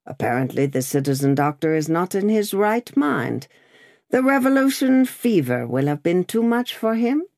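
A woman's voice: fairly low pitch, big pitch swings, moderate pace, no room sound, clean studio sound, pitched above the performer's own voice. A woman voicing a brusque male revolutionary gate officer who barks questions in a sneering way. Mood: barking. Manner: loud, barking, sneering.

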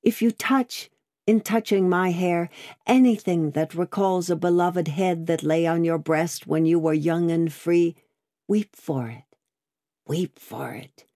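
A woman voicing a devoted, earnest young daughter in an audiobook, pleading gently and fervently. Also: lowish voice, fairly even intonation, measured pace, no room sound, studio quality, close to an everyday voice. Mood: fervent, tearful pleading. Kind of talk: dramatic audiobook character reading.

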